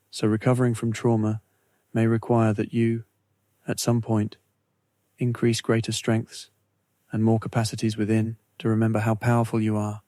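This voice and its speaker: A man narrating a scripted guided meditation in a measured, soothing way, with deliberate phrasing and pauses. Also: lowish voice, warm tone, no room sound.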